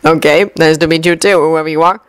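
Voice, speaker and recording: A woman's voice: sits low, fairly even intonation, brisk, smooth, close to an everyday voice. A woman voicing a blunt, offhand teenage transfer student.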